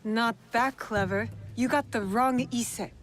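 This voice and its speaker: A woman's voice: fairly high pitch, fairly even intonation, measured pace, lightly acted. Guarded woman fighter played by an actress, music behind. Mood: dry, unimpressed. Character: young female fighter, guarded, stern, dry and unimpressed. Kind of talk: video game cutscene acting.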